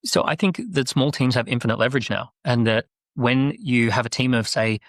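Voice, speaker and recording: A man speaking as a guest on a podcast, animated and persuasive. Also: medium pitch, fairly quick, no room sound, studio quality. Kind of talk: podcast conversation.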